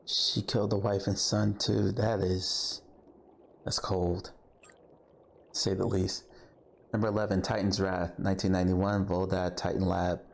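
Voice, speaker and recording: Low, creaky-voiced man commentating. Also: flat delivery, a little slow, a little hiss or hum. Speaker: video game streamer. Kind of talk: casual commentary between audio logs.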